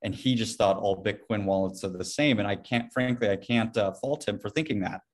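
Male podcast guest, low voice, casual and rambling delivery with plenty of hedging. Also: fairly quick. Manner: rambling, hedging with frequent "you know". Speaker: podcast guest, Bitcoin company CEO. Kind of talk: casual interview conversation.

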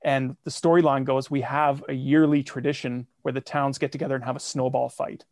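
A man with a gravelly voice and fairly flat intonation, recorded dry and close. He is a comedy fiction podcaster explaining his show to an interviewer, keen and explanatory. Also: mid-range voice, brisk, no room sound. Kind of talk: casual interview.

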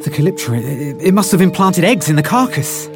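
An actor playing a worried, excitable husband and scientist in an audio drama, stammering with urgency. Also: male voice, fairly high pitch, fairly quick, music in the background, close to an everyday voice. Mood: urgent, horrified.

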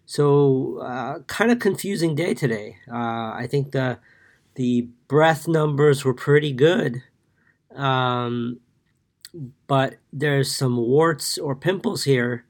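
A man's voice: medium pitch, slow pace. A man hosting a market podcast, congested from a cold, giving a casual daily recap with many fillers while reading numbers from his notes. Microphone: podcast mic.

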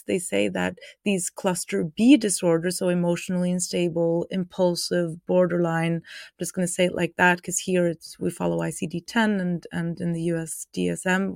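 A woman's voice: fairly low pitch, vocal fry, darkish tone, no room sound, clean studio sound. A woman from Norway hosting an interview podcast, asking questions thoughtfully with some hesitation. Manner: thoughtful, hesitant, many fillers. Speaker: podcast host, clinician. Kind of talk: interview conversation.

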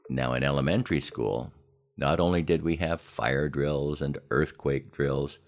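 An American man in his seventies narrating a scripted personal podcast in a measured storytelling manner with deliberate emphasis. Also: a bass voice, steady tone. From Los Angeles, United States. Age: 70s. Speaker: podcast host.

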